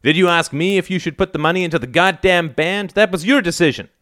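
A man's voice: medium pitch, expressive, moderate pace, fairly bright tone, dry recording, clean studio sound, pitched above the performer's own voice, close to an everyday voice. A man voicing a sullen, sarcastic teenage son in an audiobook story, snarling and aggrieved. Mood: bitter, sarcastic.

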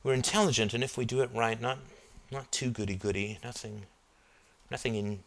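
Man playing sardonic young male singer, brooding, halting, thinking aloud, bright edge, storybook dialogue. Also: medium pitch. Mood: musing, hesitant.

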